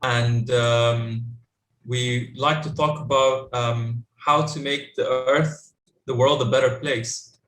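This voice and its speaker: A young man moderating a youth panel over a video call, halting with many fillers. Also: mid-range voice, steady tone, fairly bright tone. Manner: halting, full of ums and you-knows. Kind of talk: hesitant casual moderating. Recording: echoey room.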